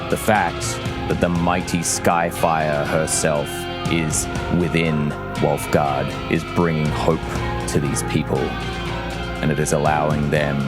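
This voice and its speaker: A man narrating a tabletop game as game master, measured and theatrical in his storytelling. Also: very deep voice, flat delivery. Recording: loud plucked-guitar music bed. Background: loud guitar music.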